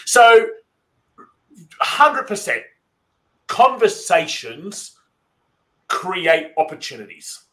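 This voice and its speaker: A man's voice: high-pitched, fairly bright tone. A man from Australia hosting a podcast, chatting with enthusiasm and the odd filler. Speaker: podcast host. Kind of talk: podcast interview.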